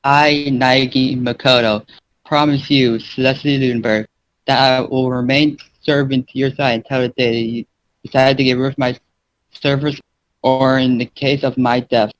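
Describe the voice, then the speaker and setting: A man's voice: medium pitch, little pitch movement, moderate pace, no room sound, lightly acted, rough recording. A man voicing a polite, devoted young manservant in a fan fiction reading, courteous and deferential. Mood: devoted, solemn.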